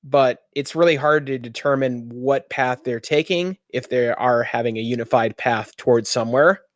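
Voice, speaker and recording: A man's voice: medium pitch, moderate pace, no room sound, clean studio sound. A man acting as game master, casually describing scenes and rulings to players in a tabletop roleplaying game.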